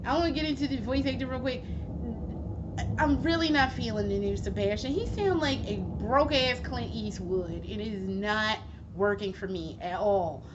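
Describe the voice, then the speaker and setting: Woman, hoarse, higher voice, casual swearing vlog, dull recording, steady background noise. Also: normal speed. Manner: rambling, swears freely, frequent fillers. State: hoarse, losing her voice. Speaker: gaming vlogger. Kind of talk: casual chat.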